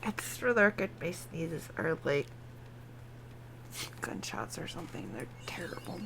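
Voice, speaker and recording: A woman's voice: deep voice, sing-song, unhurried, a bit bright. A woman giving casual live gameplay commentary in short, offhand remarks, talking to herself and the game. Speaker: game streamer. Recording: clearly noisy stream with background ambience.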